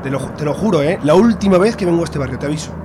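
A man's voice: on the high side, animated, racing, close to an everyday voice. A man playing a snobbish, impatient middle-aged father in a radio serial, disdainful, with an accent from Spain. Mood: indignant. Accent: Spanish from Spain. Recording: noisy urban street outdoors with cars.

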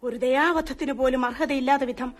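A woman's voice: high voice, fairly even intonation, fairly quick, lightly acted. A woman playing a scornful, defiant young wife in a radio drama, with a biting, resolute, dramatic delivery. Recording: radio drama over clear music.

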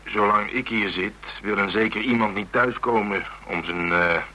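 An actor with a Netherlands Dutch accent playing a gruff, pragmatic older man in a radio drama, terse and dry. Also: lowish voice, moderate pace, pushed and bright. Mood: matter-of-fact. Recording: noisy, humming radio play recording.

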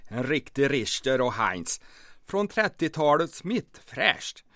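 An actor playing a boastful, quick-tempered rural safecracker in an audio drama, with a folksy manner. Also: male voice, medium pitch, very animated, no room sound, clean studio sound. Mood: folksy, smug. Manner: folksy, boastful, flares into shouting.

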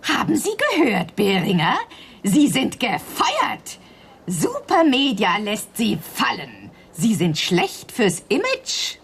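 Husky, vindictive, swooping woman. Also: medium pitch, huge pitch swings, takes it slowly, heavily acted. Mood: cold, vindictive. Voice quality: husky, rough-edged. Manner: scornful, biting. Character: fierce, vindictive, foul-mouthed woman contestant confronting a rival. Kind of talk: radio drama acting.

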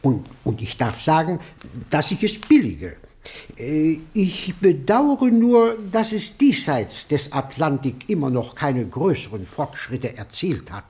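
A man's voice: on the high side, wildly swooping pitch, takes it slowly, darkish tone, heavily acted. An actor playing an earnest, sanctimonious clergyman in a radio play, pompous and hesitant. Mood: pious, approving. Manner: pompous, hesitant, repeating himself. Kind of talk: scripted radio drama dialogue.

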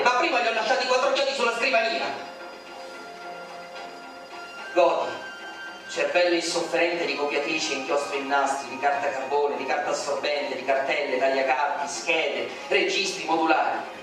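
A man's voice: sits high, a bit bright. A man giving a theatrical poetry recitation, declaiming with indignation. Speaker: poetry reader. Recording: very echoey stage recording from far off, music behind.

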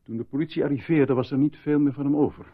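An actor playing a calm, formal male doctor at a military hospital, soothing and measured, in a radio drama. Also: medium pitch, phone-quality sound, lightly acted. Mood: explaining gently.

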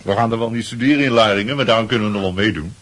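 A man's voice: fairly low pitch, rapid-fire, fairly bright tone, a little hiss or hum, close to an everyday voice. A man playing a breezy, boastful teenage schoolboy in a Dutch radio play, chatty and full of worldly-wise airs. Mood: jovial, hospitable.

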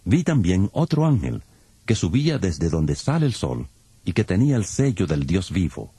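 A man's voice: low-pitched, very animated, normal speed, breathy edge, no echo. A man narrating dramatized scripture in a solemn, dramatic manner. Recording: loud music behind.

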